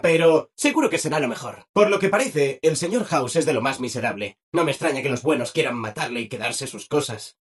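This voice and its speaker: Super cheery robot helper from a game, castilian spanish, high voice bouncing all over, eager to please. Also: male voice, husky. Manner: chirpy, over-obliging, exclamatory. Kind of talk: video game character voice acting.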